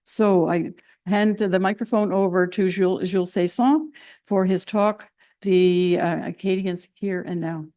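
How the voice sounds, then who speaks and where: A woman's voice: fairly low pitch, fairly even intonation, unhurried, mellow. A woman hosting a seniors' college event, announcing courses and introducing the speaker in a chatty way with small restarts.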